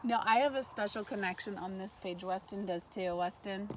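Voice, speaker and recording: A woman teacher reading a storybook aloud to kindergartners in an animated way, pausing to put questions to her listeners. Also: mid-range voice, rough recording. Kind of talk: read-aloud lesson for young children. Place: outdoors in a backyard tree fort among trees. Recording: faint outdoor ambient noise.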